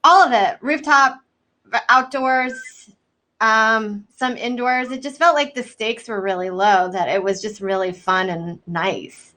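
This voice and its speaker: A young American woman from Houston, a comedian chatting casually on a video call, soft-spoken and lively with lots of fillers. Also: on the high side, unhurried. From Houston, United States. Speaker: comedian guest. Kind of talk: casual chat.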